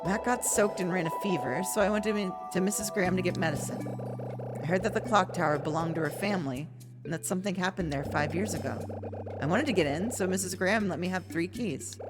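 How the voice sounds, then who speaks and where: A woman with a slightly raspy voice, streaming a story game, reading its text aloud and chatting casually with some swearing. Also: on the low side, fast talker. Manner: chatty, swearing, laughing, trailing off. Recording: music playing in the background.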